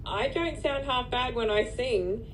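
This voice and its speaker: A woman speaking as a client in a healing session, agreeing softly and sharing personal thoughts. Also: fairly high pitch, unhurried, a little hiss or hum, low-quality recording. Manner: soft agreeing, backchannels "yeah" and "mm-hmm". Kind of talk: casual conversation.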